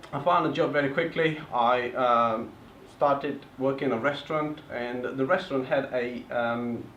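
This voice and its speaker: A man's voice: medium pitch, a bit bright, a little hiss or hum. Bulgarian-accented young male presenter, earnest plain delivery, distant mic in a reverberant function room. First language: Turkish. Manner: earnest, plain speech, sometimes broken grammar. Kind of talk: public talk telling his story. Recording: far-off, echoey, dull room recording.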